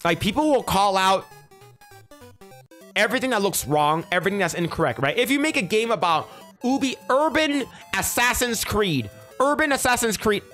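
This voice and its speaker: A man's voice: high voice, soft background music. Casual man streamer with a headset mic. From United States. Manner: casual, swears, reads posts in a flat deliberate tone. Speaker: streamer reacting to posts. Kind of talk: casual commentary, reading posts aloud. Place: small room indoors at a desk.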